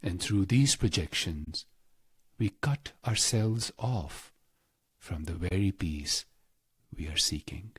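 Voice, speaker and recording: Deep, husky male meditation guide. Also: animated, no echo, studio quality. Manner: slow, deliberate, pausing between short phrases. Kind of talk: scripted guided meditation narration.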